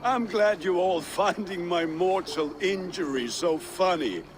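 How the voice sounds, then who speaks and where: A man's voice: high voice, unhurried, music in the background. A man playing a proud, menacing, grumbling old Viking warrior in a video game.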